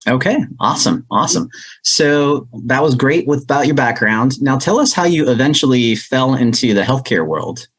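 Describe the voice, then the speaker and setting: A man's voice: medium pitch, lively intonation, normal speed, fairly bright tone, breathy edge. A man hosting a podcast interview, upbeat and enthusiastic with his guest. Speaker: podcast host.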